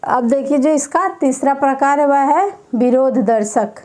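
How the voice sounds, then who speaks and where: A woman teacher giving an exam-prep grammar lesson, dictating slowly and repeating each term several times. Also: high voice, darkish tone.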